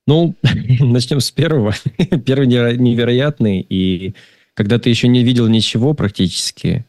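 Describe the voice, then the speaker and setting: A man giving a casual film review in conversation, speaking in a halting, rambling way and often repeating words. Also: mid-range voice, darkish tone, no room sound. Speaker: film discussion participant.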